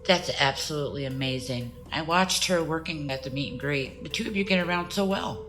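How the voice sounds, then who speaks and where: A woman's voice: low voice, fairly quick, music in the background, natural voice. A woman playing a kind, sociable celebrity in audio drama dialogue, with a friendly, chatty manner. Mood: admiring.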